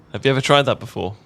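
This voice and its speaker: A man's voice: medium pitch, creaky, fairly bright tone. A man with an English accent acting a bashful, affectionate young roommate in an intimate ASMR roleplay, chatty and stammering when flustered. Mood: playful, cheerful. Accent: British, English. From England. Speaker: audio roleplay voice actor.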